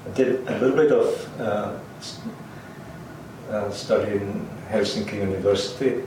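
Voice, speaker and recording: An older man from Finland who grew up speaking Swedish, Finnish and German, recalling his life in an interview with hesitant, halting speech. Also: lowish voice. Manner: hesitant, many ums and restarts, chuckles. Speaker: interviewee, linguist. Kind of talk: oral history interview. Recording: roomy, distant, faint hiss.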